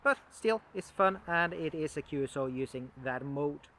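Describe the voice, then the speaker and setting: Higher-pitched man giving a casual demo. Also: animated, moderate pace, a bit bright, slight background noise. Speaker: amateur radio hobbyist demonstrating equipment. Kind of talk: casual unscripted video demonstration.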